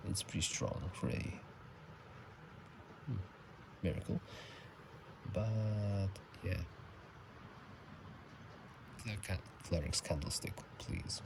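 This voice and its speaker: A man speaking in a soft ASMR whisper and murmur, casual and halting, recorded on a phone microphone. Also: fairly low pitch. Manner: halting, casual, self-correcting. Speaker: channel host. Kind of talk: whispered ASMR game commentary. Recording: faint noise, phone microphone.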